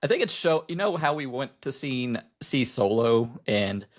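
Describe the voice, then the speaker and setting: A man co-hosting a podcast, chatting casually about film and TV in a halting, rambling way. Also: mid-range voice, big pitch swings. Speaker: podcast co-host.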